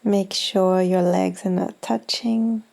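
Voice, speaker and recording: A woman leading a guided meditation in a gentle, soothing, unhurried manner with long pauses. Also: mid-range voice, fairly even intonation, gravelly. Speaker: yoga nidra meditation guide.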